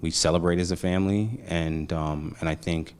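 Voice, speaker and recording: Deep-voiced Brooklyn man in his forties. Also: moderate pace, slight background noise. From Brooklyn, New York. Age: 40s. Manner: relaxed, slangy, many fillers. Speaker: guest. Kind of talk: casual interview chat.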